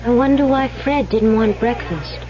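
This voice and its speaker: A woman's voice: fairly high pitch, normal speed, natural voice. An actress playing a naive, easily rattled young woman in an old-time radio drama, girlish and hesitant. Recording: dull old radio recording.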